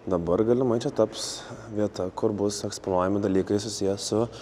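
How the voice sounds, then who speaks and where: A man with a Lithuanian accent hosting a travel vlog, excitable and chatty as he narrates and talks to locals. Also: on the low side, quick pace, a little hiss or hum. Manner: excitable, exclaiming, switches between narrating and chatting. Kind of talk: casual travel vlogging.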